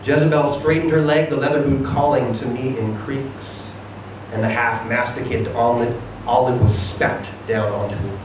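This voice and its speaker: A man giving an expressive, theatrical reading of a first-person short story. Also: mid-range voice, normal speed. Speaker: reader of a short story at a live event. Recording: very echoey room, distant mic, noisy.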